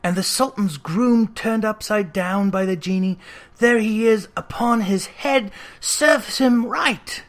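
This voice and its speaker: A storybook reader voicing an old miser who is fervent, exclamatory and full of boyish excitement. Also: mid-range voice, slow pace, pitched above the performer's own voice, natural voice. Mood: gleeful.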